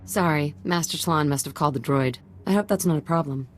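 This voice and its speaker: An actress playing an anxious young servant in a video game, deferential, guarded and easily flustered. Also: female voice, low voice, fast, lightly acted. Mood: flustered, apologetic.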